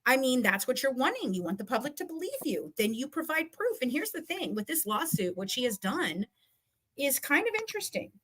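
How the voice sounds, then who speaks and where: Woman, sharp highish voice, fired up and combative, casual livestream rant, hammers her points. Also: big pitch swings, a little fast, low-quality recording. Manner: emphatic, combative, repeats phrases for emphasis. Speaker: guest. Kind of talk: heated casual live-stream commentary.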